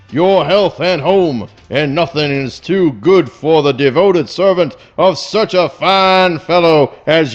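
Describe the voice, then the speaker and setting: A man's voice: high voice, dull audio. A man voicing a pompous, clumsy bloodhound who calls himself a faithful companion, with grand, flowery diction in a comic radio play.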